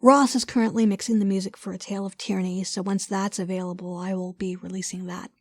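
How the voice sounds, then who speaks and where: A woman's voice: mid-range voice, steady tone, fast talker, dry recording. A woman hosting a podcast, giving casual announcements and interview questions.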